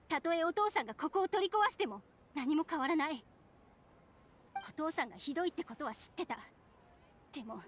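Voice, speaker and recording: A woman's voice: very high-pitched, fairly bright tone, slight background noise, exaggerated voice. A woman acting an upset, defiant young woman in a Japanese anime game.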